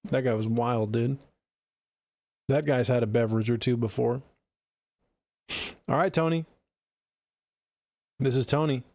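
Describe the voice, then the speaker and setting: A man's voice: medium pitch, steady tone, measured pace, long pauses, a little mellow, no echo. A man hosting a music review livestream in casual, slangy chat with frequent swearing.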